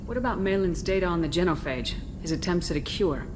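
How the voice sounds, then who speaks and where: A woman's voice: fairly low pitch, fast, background noise, natural voice. A woman playing a cool, direct military commander in a video game, businesslike and questioning. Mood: composed.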